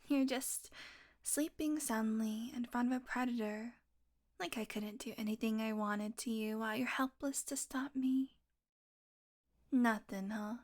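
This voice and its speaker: A woman playing a sardonic, teasing, predatory vampire with a soft spot, in an intimate, sweary audio roleplay monologue that often drops to a murmur. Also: on the high side, a little slow, natural voice. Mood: wry, musing.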